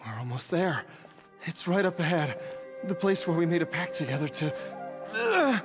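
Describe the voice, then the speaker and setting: An actor voicing an ailing, self-sacrificing man in a video game, with an earnest, halting, dramatic delivery. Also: on the high side, wildly swooping pitch. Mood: strained, urgent. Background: music.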